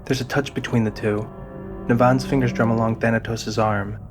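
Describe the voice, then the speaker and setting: A man giving a scripted, deliberate narration of a fantasy audio drama. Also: mid-range voice, steady tone, over music.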